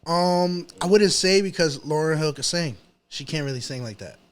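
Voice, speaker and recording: Man, New York accent, fairly high pitch, casual interview guest, informal slang, repeats phrases. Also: normal speed. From New York City. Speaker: rapper, podcast guest.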